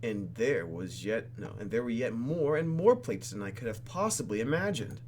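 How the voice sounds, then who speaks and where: A man's voice: mid-range voice, animated, moderate pace, darkish tone, a little hiss or hum. A man doing playful, exclamatory gameplay commentary as he reads a visual novel aloud, with faint music behind him. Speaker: let's play host.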